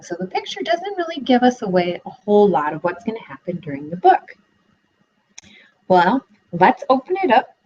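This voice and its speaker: Animated, husky young woman teacher. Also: mid-range voice. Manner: expressive, questioning, animated teacher voice. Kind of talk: read-aloud lesson for children. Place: home living room. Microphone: laptop webcam. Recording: dull lo-fi webcam audio.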